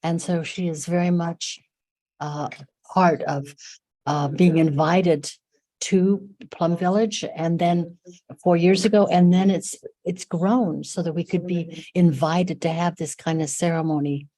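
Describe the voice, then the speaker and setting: A woman speaking on a video call, halting and hesitant with many fillers. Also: on the low side, fairly even intonation, mellow. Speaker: guest speaker. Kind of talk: casual video call remarks.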